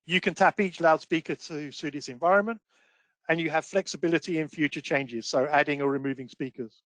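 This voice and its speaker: A man with a slightly high voice, recorded dry and close. He presents technical training in an explanatory style, working through each point step by step with practical examples. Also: a little fast, a bit bright, no echo. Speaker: webinar presenter, audio trainer.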